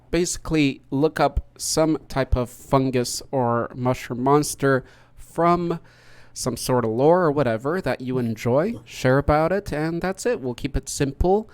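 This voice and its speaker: A man's voice: mid-range voice, smooth. A man hosting a casual livestream podcast, chatting upbeat while setting things up. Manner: chatty, upbeat, talks through setup. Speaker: podcast host.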